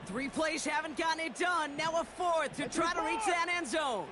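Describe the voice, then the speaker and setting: A woman's voice: sits high, moderate pace, natural voice. A woman playing an American play-by-play football commentator, calling the action in short, punchy phrases. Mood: excited. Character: brisk, excitable female football play-by-play announcer. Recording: loud stadium crowd noise throughout. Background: roaring stadium crowd.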